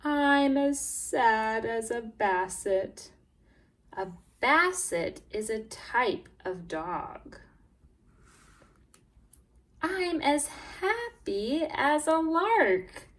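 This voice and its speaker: Very slow, animated woman teacher reading aloud. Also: a little high. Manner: expressive, exaggerated storytelling, emphasizing key words; encouraging, prompts listeners and praises answers. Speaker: teacher reading a picture book to English language learners. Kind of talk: read-aloud lesson for young children, with questions and prompts.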